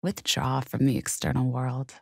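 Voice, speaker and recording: A woman leading a guided meditation in a calm, gentle, soothing and measured way. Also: very deep voice, gravelly, breathy edge, no room sound, studio quality.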